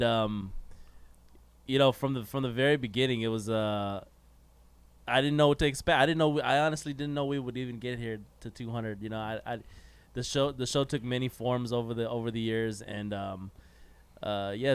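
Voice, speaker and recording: A man co-hosting a podcast in a studio, speaking reflectively and haltingly, with frequent restarts. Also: medium pitch, steady tone, clear, resonant tone. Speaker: podcast co-host. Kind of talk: casual hobby podcast chat.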